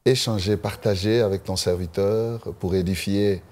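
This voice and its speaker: Deep-voiced pastor hosting a TV show. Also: male voice, steady tone, moderate pace, a little mellow. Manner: formal, churchly phrasing. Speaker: talk show host. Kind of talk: opening prayer and interview questions. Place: television studio.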